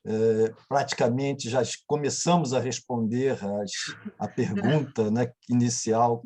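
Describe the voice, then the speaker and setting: A man's voice: medium pitch, warm tone. A man moderating a panel, conversational and often checking in with tag questions.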